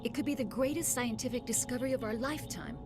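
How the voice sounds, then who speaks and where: A rattled, protective lead researcher, voiced by a woman, telling her story in an earnest, shaken way. Loud video game music swells under her voice. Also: fairly high pitch, fast talker, natural voice. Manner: earnest, shaken, apologetic explaining. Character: shaken female lead scientist, middle-aged, protective.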